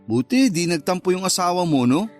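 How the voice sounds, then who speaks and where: Wistful secret lover, understated. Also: male voice, high-pitched, lively intonation, a little fast, soft background music. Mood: teasing, tired. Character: wistful, sulky male lover of a married woman. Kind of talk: Tagalog radio love drama acting.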